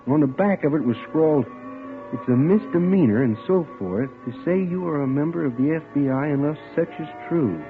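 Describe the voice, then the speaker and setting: A man's voice: fairly high pitch, lively intonation, a little fast. An actor playing an earnest, polite bank clerk who narrates his own story and stammers when he gets flustered. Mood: narrating. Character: earnest male bank clerk hunting his missing fiancée. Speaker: narrator and lead. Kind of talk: 1940s radio acting. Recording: tinny old radio broadcast with music.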